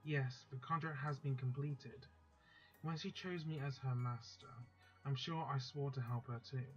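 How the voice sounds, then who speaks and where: A man's voice: mid-range voice, normal speed, muffled recording, faint music behind. A man hosting a let's play, chatting casually and then reading a visual novel's text aloud.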